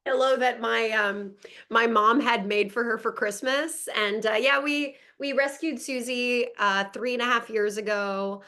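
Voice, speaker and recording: A woman with a crisp, bright voice pitched a little high chats in a relaxed interview from home. She grew up in Montreal speaking French first. Her talk is upbeat and full of easy laughs over a video call. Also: measured pace. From Montreal, Canada. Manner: chatty, upbeat, laughs often. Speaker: actress guest. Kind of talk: casual interview chat. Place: home in Los Angeles.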